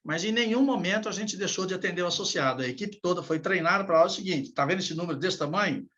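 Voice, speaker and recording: A man, general manager of an association, recalling past work challenges in a podcast chat, halting and repeating words as he thinks. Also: sits high, animated, a bit bright, muffled recording.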